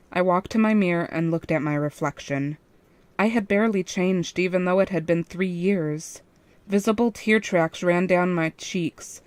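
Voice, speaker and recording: Low-pitched woman narrating a story. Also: dry recording. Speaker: fan fiction narrator. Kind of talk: scripted first-person story narration.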